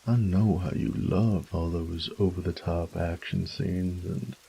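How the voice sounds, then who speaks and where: Casting: male actor as charming, teasing boyfriend for romantic audio roleplay; deep, very husky drawl, folksy pet names. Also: steady tone, measured pace, warm tone, heavily acted. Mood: playful.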